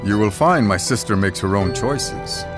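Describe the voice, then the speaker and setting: Man acting a deep, solemn warrior. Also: lively intonation, lightly acted. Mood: wary, firm. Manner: measured, formal, grave speech. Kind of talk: video game cutscene acting. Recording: music playing behind the voice.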